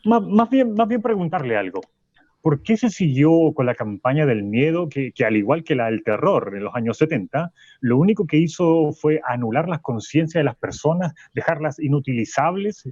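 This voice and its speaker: Husky man playing an insistent professor. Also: on the high side, darkish tone, no echo, highs cut off. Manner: insistent, repeats himself, interrupts politely. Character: male professor guest, insistent and argumentative. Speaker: professor guest. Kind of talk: radio drama acting.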